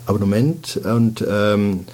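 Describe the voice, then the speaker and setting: Male podcast host, lowish voice, hesitant, full of ums. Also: slow, darkish tone. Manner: hesitant, many ums and restarts. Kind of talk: casual interview talk.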